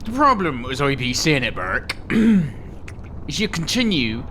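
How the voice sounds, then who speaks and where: A man playing a hostile, drunken middle-aged trash collector, sneering slow threats in an audio drama. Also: medium pitch, very animated, sharp tone, slight background noise. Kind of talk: audio drama character acting.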